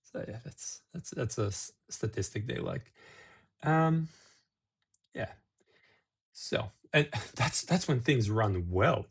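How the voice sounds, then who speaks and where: A man's voice: medium pitch, animated, slow pace, creaky, pauses often, slightly rough. A middle-aged man from Serbia hosting a casual talk-to-camera video, rambling with restarts and asides. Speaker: video host.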